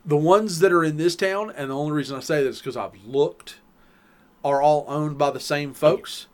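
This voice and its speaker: A man's voice: fairly high pitch, normal speed. A man with a Southern US accent, co-hosting a podcast and explaining things at length in casual chat. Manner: rambling, explains at length with restarts. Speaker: podcast co-host.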